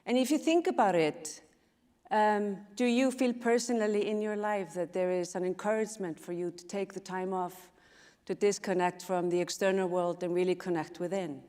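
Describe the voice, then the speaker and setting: A woman's voice: mid-range voice, fairly even intonation, a little mellow. Icelandic woman speaking in a reverberant hall. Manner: reflective, measured, with pauses and fillers. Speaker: conference speaker. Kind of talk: live design talk. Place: large event hall. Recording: long reverb of a large hall.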